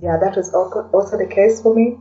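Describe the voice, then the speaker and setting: Podcast guest: mellow female voice, chatty and hesitant, slightly distant from the mic. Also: mid-range voice, fairly even intonation, a little fast. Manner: chatty, hesitant, frequently adds "you know". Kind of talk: casual interview conversation. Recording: dull, distant, faint background noise.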